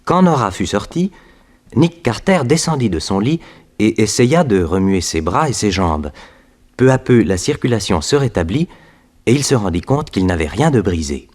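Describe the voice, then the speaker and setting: A man's voice: lowish voice, big pitch swings, moderate pace, a little mellow. A man narrating a 1960s French radio detective serial in a dramatic scripted storytelling style. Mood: measured, suspenseful.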